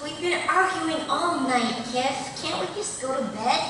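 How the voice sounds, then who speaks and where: A woman's voice: sits high, a little slow. An actress voicing an impatient, blunt young girlfriend at a live cartoon table read. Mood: exasperated. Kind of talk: animated comedy table read performed live. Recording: echoey hall, distant mic, loud noise.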